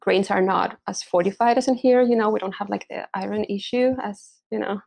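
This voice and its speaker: A woman's voice: medium pitch, fairly even intonation, brisk, vocal fry, a little mellow. A young woman from Spain, a pregnancy coach, chatting in an interview, hesitant with many fillers and restarts. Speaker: hormonal and pregnancy coach, podcast guest. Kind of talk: casual interview chat.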